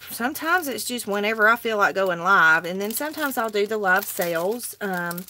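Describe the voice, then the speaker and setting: A woman's voice: mid-range voice, lively intonation, moderate pace, pushed and bright, slight background noise. Chatty Southern woman crafting live. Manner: chatty, talks to viewers while working. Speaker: craft livestream host. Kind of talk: casual live crafting chat. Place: indoor craft table, overhead camera.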